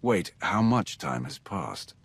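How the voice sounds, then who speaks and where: A man playing a cold, ruthless Sith warrior in a video game cutscene, blunt and menacing, with a gravelly voice. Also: very animated, takes it slowly, no echo, heavily acted. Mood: weary, guarded. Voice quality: gravelly, very deep.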